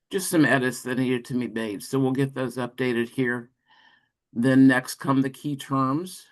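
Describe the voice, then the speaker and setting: Halting man walking through slides. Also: medium pitch. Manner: halting, self-correcting. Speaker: presenter on an educators' call. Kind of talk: informal slide walkthrough.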